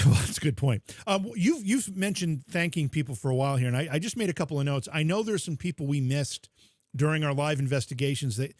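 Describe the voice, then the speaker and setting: A man's voice: mid-range voice, darkish tone, no room sound, studio quality. A man co-hosting a casual podcast livestream, teasing his partner and reading out thank-yous to supporters in a chatty way. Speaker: podcast co-host.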